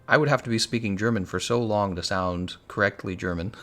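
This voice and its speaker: A man's voice: on the low side, lively intonation, normal speed, fairly bright tone. A young man with an American accent, chatting casually on a game stream, answering viewers and laughing often. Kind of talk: casual live-stream commentary.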